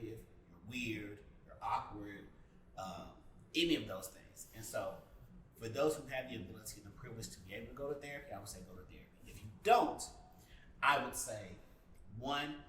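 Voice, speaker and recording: A man's voice: fairly low pitch, a little fast, a bit bright. A man giving candid advice in a group discussion, speaking in an emphatic, sassy manner. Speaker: panelist. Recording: roomy, distant mic, faint background noise.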